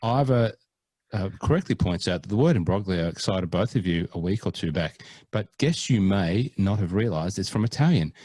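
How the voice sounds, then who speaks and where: A man co-hosting a golf podcast, chatting casually in a lowish voice. He is playful and mock-earnest and hams up foreign pronunciations. The sound is dry and close. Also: a little mellow, slightly rough, no echo. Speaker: podcast co-host. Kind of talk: casual chat.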